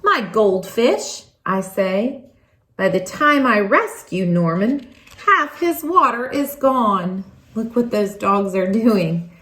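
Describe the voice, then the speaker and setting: A woman reads a children's story aloud in an expressive, animated way, leaning on words for emphasis. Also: medium pitch, takes it slowly, reverberant. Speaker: storybook reader.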